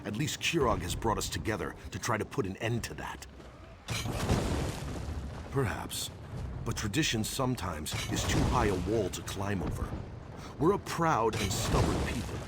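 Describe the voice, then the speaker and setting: A grim orc warrior for a fantasy game. The voice sits low and speaks in a measured, skeptical, proud manner. Heavy battle noise roars behind it. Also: male voice, big pitch swings. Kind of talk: video game character dialogue. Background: battle ambience with artillery.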